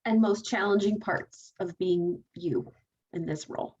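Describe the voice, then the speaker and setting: A woman's voice: on the low side, a little slow, a little mellow, breathy edge, far from the mic. Woman moderating a muffled video call. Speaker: career services moderator. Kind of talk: moderating an online panel.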